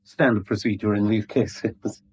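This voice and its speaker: An actor playing an oily, polite blackmailer in a British radio drama, falsely apologetic and genteel, with a British accent. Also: male voice, on the low side, fairly even intonation, unhurried, darkish tone, slight background noise, lightly acted. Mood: amused menace. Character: smooth, mock-regretful male blackmailer, oily and polite. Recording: vintage 1970s radio drama recording.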